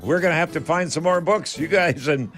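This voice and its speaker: A Canadian man hosting a call-in radio show, chatting casually in a folksy, rambling, halting way. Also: on the high side, fairly bright tone, faint music behind. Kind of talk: casual live radio chat.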